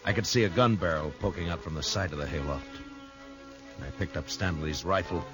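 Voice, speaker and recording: An actor playing a calm, steady frontier marshal who also narrates, in a 1950s radio drama. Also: male voice, low-pitched, close to an everyday voice. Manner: calm, firm, measured. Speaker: narrator and lead. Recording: old radio broadcast with music bed.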